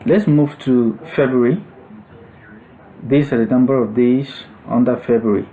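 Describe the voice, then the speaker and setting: A man narrating a step-by-step software tutorial as an instructor, explaining things in short, separated phrases. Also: mid-range voice, steady tone, measured pace, a little hiss or hum, low-quality recording.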